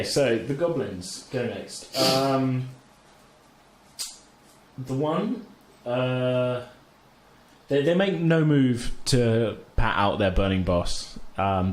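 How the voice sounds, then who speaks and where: A man's voice: mid-range voice, slow. A man narrating a tabletop game as game master in a casual, improvised, hesitant conversational way. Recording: roomy echo, faint background noise.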